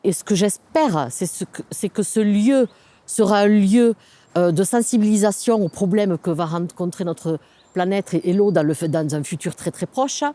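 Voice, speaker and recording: A woman with a soft-edged, mellow voice at a middle pitch explains a local project as an official in an interview. She searches for her words as she goes, pausing and repeating short phrases. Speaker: local government sustainable development officer.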